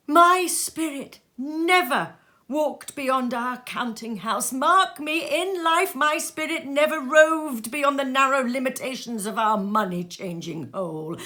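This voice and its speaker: A woman's voice: sits high, a bit bright, voice pushed up, heavily acted. A woman voicing a tormented male ghost of a dead businessman in a storybook reading, declaiming solemnly and wailing in archaic speech. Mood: weary, restless.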